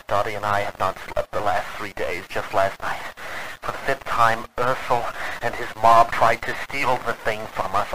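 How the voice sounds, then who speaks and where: A man plays an earnest, weary investigator in an old-time radio drama. His low voice is grave and formal as he dictates his log. The recording is noisy, like an old radio. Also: natural voice.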